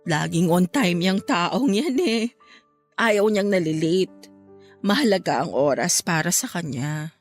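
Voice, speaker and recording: A woman's voice: on the low side, expressive, unhurried. A young woman playing a bereaved, heartbroken woman in a Filipino radio drama, speaking in a plaintive, emotional way. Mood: wistful. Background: music.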